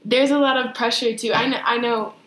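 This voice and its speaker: A young woman co-hosting a casual podcast, talking thoughtfully and measured, often pausing to rephrase. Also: fairly high pitch, steady tone, fairly bright tone. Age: young adult. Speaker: podcast co-host. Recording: echoey room with faint noise.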